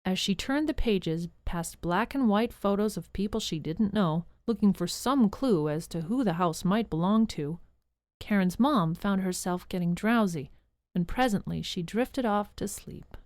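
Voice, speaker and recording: A woman's voice: medium pitch, expressive, a little slow, darkish tone, no echo. A woman narrator reading scripted storybook narration for an audio drama. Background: music behind the voice.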